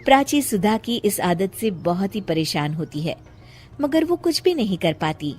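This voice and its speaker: A woman doing scripted storybook narration for a cartoon, with an even storytelling cadence. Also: medium pitch, moderate pace, faint music behind.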